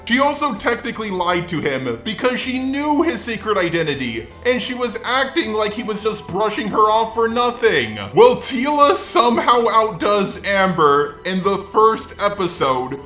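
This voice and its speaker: A man's voice: high-pitched, big pitch swings, moderate pace, hardly any pauses, sharp tone, reverberant, soft background music. A man's synthetic robot-like voice delivering scripted, sarcastic opinion commentary as a ranting video commentator. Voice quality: synthetic robot-like text-to-speech voice.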